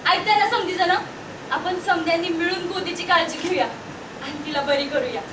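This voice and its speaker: Woman playing a fierce, very high-voiced village woman. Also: normal speed, noisy background. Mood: defiant, protective. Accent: rural Maharashtrian Marathi. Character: fierce, devoted village woman mothering her cow. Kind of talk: amateur Marathi stage drama acting. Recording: echoey room from far off, music behind.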